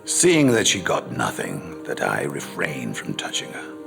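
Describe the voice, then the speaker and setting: A man narrating as a worldly gentleman memoirist, in scripted dramatic style with formal, measured literary phrasing. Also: rumbling low, huge pitch swings, vocal fry, music in the background, full character voice. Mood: detached, confiding. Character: worldly gentleman memoirist recalling his seductions. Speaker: narrator reading a memoir in first person.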